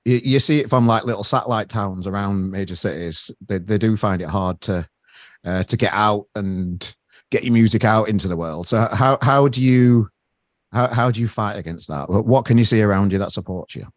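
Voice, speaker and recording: A man's voice: lowish voice, moderate pace, dry recording, studio quality. A man from Sheffield with a northern English accent, interviewing a band in casual chat and often restarting his sentences. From Sheffield, England. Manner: chatty, restarts sentences, says mate. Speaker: interviewer and gig promoter.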